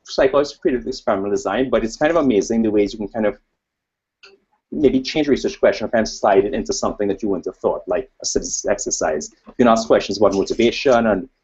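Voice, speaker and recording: A low, husky male voice with a Trinidadian accent delivers an informal academic presentation. He wanders into asides and plays himself down. The sound is dull and lo-fi, like a webcast. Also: fast talker, a little mellow. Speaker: academic researcher presenting at a conference. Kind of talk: informal conference presentation with slides.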